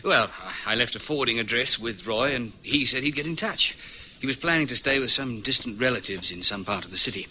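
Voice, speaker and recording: A man's voice: mid-range voice, expressive, a little fast, pushed and bright, a little hiss or hum, poor audio. An actor playing an earnest, anxious young man newly arrived from Australia, polite and concerned, in a vintage radio drama. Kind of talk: 1950s-60s radio drama acting.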